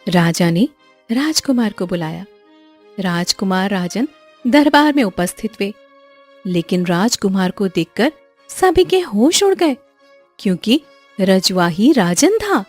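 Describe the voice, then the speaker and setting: Woman narrator reading a kids moral story, slow, bit of music behind. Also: mid-range voice, expressive, a little mellow. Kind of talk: scripted children's story narration.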